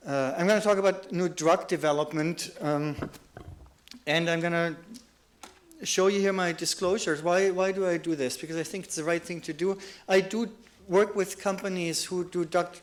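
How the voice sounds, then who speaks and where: Earnest German-accented male lecturer. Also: fairly high pitch. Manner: earnest, with many restarts and repeated words. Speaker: psychiatrist giving a lecture. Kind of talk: conference lecture.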